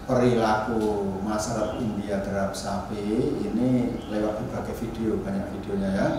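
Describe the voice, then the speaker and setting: A man giving an informal academic lecture as a university teacher, halting and restarting, often adding tag questions. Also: on the low side. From Indonesia. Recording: very echoey room, distant mic, faint noise.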